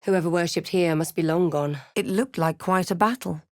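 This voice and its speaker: Woman playing female fantasy game hero, lowish pitch, dry studio sound, measured, wry, watchful, understated drama. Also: no echo, close to an everyday voice. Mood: measured, wary. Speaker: player-character voice actor. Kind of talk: fantasy game narration and short reactive lines.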